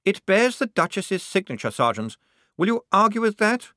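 Man putting on a nervous, high-pitched pompous lieutenant. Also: sing-song, moderate pace, bright, forceful tone, no echo, studio quality, exaggerated voice. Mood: polite, firm. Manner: hesitant, politely chipper officer speech. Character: nervous, pompous, well-meaning young army lieutenant.

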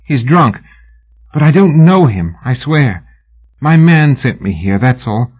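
A man's voice: medium pitch, big pitch swings, slow, breathy edge, lo-fi sound, pitched above the performer's own voice, heavily acted. A man reading an audiobook, voicing a frightened young British woman who pleads desperately and breathlessly. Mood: pleading. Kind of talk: audiobook character voice.

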